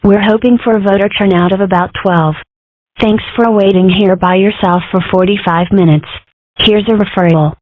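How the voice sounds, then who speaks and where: A woman voicing a mischievous young fox girl with cheeky, deadpan jokes in an amateur comic dub. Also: medium pitch, normal speed, no room sound, close to an everyday voice. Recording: dry, slightly lo-fi home recording.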